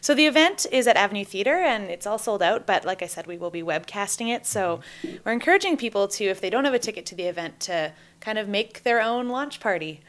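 A woman's voice: mid-range voice, expressive, a little fast, fairly bright tone. A Canadian woman guest chatting casually and eagerly about a community project, laughing easily. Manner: upbeat, talkative, laughs easily. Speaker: guest, taskforce co-lead. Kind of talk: casual podcast chat.

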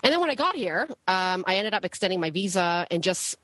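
Guest spot: low-pitched woman, dry close sound, easygoing, talks over a call. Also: big pitch swings, brisk, fairly bright tone, no room sound. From born in Malaysia, raised in Canada. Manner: chatty, frequent fillers and restarts, laughs easily. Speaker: podcast guest, entrepreneur. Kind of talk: casual interview conversation. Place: home cottage.

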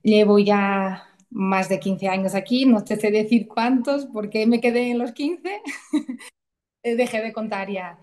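A woman's voice: medium pitch, a little slow, a little mellow. Chatty woman in her thirties. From Portugal. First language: Portuguese. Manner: chatty, emotional storytelling with many restarts. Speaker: guest. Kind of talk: casual conversational interview.

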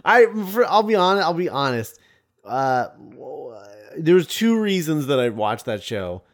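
American male podcast host, slightly high and very expressive, casual rambling chat with halting restarts. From United States. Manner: rambling, halting, repeats phrases.

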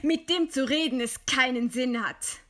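An actress playing a proud, composed dissident writer in a German radio play, speaking with measured, scornful eloquence. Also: female voice, sits high, a bit bright. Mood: defiant, scornful. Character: condemned dissident woman writer, widowed, proud, defiant, composed.

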